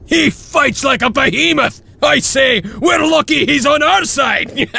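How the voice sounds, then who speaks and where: A male actor playing a rough, excitable, loyal wolf-clan warrior in a video game, with a raspy, theatrical voice. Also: extremely high pitch, takes it slowly, raised voice, heavily acted. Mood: gleeful. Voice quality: raspy, gravelly. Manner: boisterous, theatrical. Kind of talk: dramatic video game acting.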